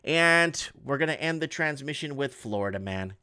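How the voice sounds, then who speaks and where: A man's voice: mid-range voice, expressive, sharp tone, smooth, dry recording. Man reading news with stumbling asides. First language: Portuguese. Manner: halting, restarts often, reads articles aloud with asides. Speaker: online news show host. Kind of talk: casual commentary reading headlines.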